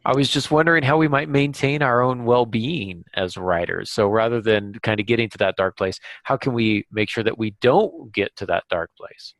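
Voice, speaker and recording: A man co-hosting a casual podcast discussion, speaking in a halting way as he thinks aloud. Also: mid-range voice, fairly bright tone, no room sound. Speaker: podcast co-host.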